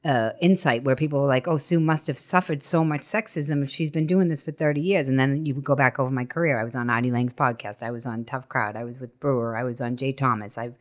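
A woman's voice: a bass voice, fast talker, few pauses. A middle-aged woman telling anecdotes as a podcast guest. She is blunt and emphatic, swears freely and acts out quoted dialogue. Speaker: guest, stand-up comedian. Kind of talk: animated anecdotal storytelling in a podcast interview.

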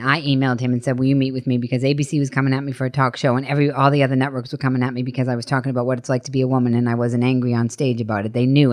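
Low-voiced, fast-talking middle-aged woman. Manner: blunt, emphatic, swearing, acts out quoted dialogue. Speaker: guest, stand-up comedian. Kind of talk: animated anecdotal storytelling in a podcast interview.

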